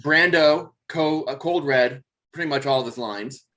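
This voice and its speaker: A man's voice: mid-range voice, a little slow, fairly bright tone. A man in a casual chat, reading out bits of trivia as a panelist. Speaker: panelist sharing film trivia.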